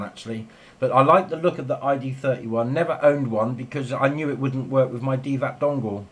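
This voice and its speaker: A man chatting casually and enthusiastically over amateur radio in a rambling way. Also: medium pitch. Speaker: amateur radio operator, video maker. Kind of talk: casual two-way radio chat. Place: home radio shack. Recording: clear background noise in room.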